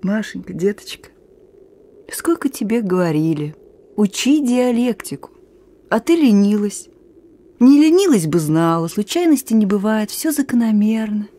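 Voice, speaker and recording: A woman's voice: mid-range voice, sing-song. An actress in a radio play voicing a bold, teasing young woman who is a wartime partisan messenger. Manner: playful, teasing, brisk, sometimes singing softly.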